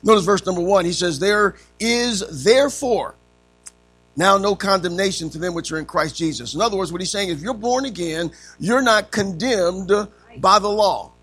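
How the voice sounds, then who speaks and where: A man teaching Sunday school in a folksy way, his voice a little raspy from allergies, dropping in amens often. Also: sits high, normal speed, fairly bright tone, soft background music. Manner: punctuates with frequent amens, calls to the class.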